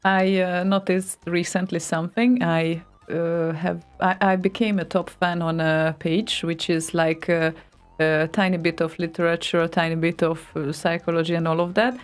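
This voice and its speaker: A woman's voice: fairly low pitch, flat delivery, normal speed. A woman co-hosting a live internet radio show, chatting casually with hesitant fillers and frequent laughs. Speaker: radio co-host.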